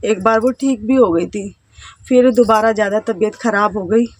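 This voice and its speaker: A woman in her thirties from India, a housewife telling her life story to camera in a halting, hesitant way. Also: medium pitch, dry recording, poor audio. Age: 30s. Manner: hesitant, halting, with self-conscious laughs and trailing phrases. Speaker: vlogger, housewife. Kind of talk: casual personal storytelling to camera.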